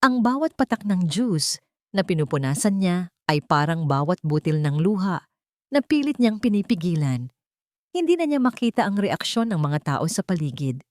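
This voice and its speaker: A woman's voice: on the low side, sing-song, moderate pace, dry recording, studio quality. A woman storyteller reading a scripted, dramatic narration of a love story.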